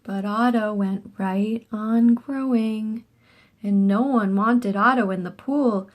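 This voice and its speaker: A woman reading a children's book aloud in an expressive, animated storytelling style with short asides. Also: mid-range voice, takes it slowly. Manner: animated, acts out dialogue.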